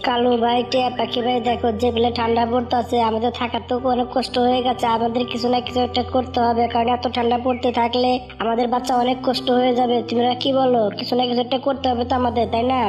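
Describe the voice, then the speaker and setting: A woman's voice: fairly high pitch, completely flat delivery, fast talker, few pauses, a little mellow, faint music behind, lightly acted. A woman voicing a small, worried, bossy talking bird in cartoon story dialogue, often pressing for agreement. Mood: worried, urging.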